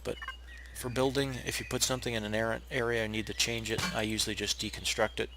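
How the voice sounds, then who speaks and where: A hoarse, raspy man who is feeling unwell, casually talking viewers through a game build step by step with plenty of pauses and restarts. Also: fairly low pitch, noisy background. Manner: relaxed, explanatory, with frequent uh fillers and restarts. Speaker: game tutorial narrator. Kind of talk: casual step-by-step gameplay tutorial.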